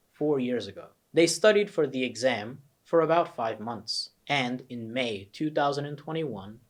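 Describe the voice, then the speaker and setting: A young man with an American accent, a test prep coach persuasively walking students through his course. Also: mid-range voice. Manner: earnest, persuasive, emphatic. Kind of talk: promotional course walkthrough.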